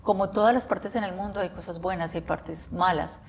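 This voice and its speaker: A woman in her forties from Colombia with a Colombian accent, seated indoors and talking to camera with enthusiasm, often pausing with "eh". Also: on the low side, steady tone, vocal fry, slight background noise. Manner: enthusiastic, frequent hesitations with eh. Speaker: interviewee. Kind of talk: talking to camera about life abroad. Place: bright office room by large windows, indoors.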